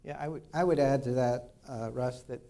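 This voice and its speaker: Male dean on a campus panel, mellow tone, halting delivery full of fillers. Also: medium pitch, steady tone. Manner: halting, many "uh" fillers and restarts. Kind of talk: unscripted panel remarks.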